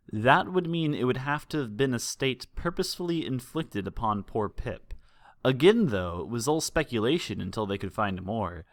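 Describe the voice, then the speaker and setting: A man's voice: mid-range voice, no echo, clean studio sound. A man reading a fan fiction story aloud as a scripted audiobook narration. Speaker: audiobook reader.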